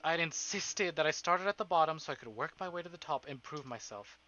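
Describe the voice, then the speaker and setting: Man reading as a wistful society wife, bright-toned. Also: fairly high pitch, expressive, quick pace, dull audio. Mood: wistful, reflective. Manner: formal, introspective, bitter. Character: wistful, weary high-society wife narrating her own thoughts. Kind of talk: visual novel inner monologue read aloud. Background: game music.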